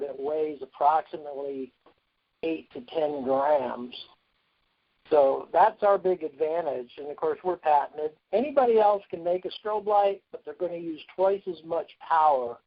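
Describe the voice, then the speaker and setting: A man speaking as a company executive on a conference call, pitching a product in a plain-spoken, salesman-like way. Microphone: phone. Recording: dull lo-fi conference phone line.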